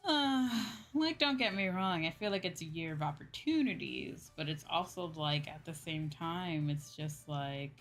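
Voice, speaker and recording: A young adult woman in a casual livestream chat, talking as a streamer to viewers in an animated, exclamatory way with acted-out asides. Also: deep voice, a little slow, few pauses. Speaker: VTuber streamer chatting with viewers. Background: background music.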